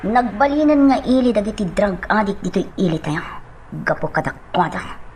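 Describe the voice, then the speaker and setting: A woman playing a worried young working woman who confides haltingly in friends, in an Ilocano radio drama. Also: mid-range voice, lively intonation, darkish tone, a little hiss or hum, natural voice. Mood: anxious confiding. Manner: hesitant, halting.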